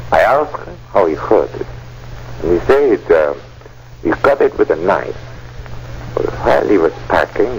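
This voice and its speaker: An actor playing a plain rural witness in an old-time radio drama, speaking in a halting, hesitant way. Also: male voice, lowish voice, vocal fry, darkish tone, exaggerated voice. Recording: dull, noisy old radio recording.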